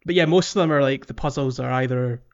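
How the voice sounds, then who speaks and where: A man's voice: mid-range voice, quick pace, dull audio. A man co-hosting a podcast, talking through a video game casually and a little hesitantly. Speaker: podcast co-host.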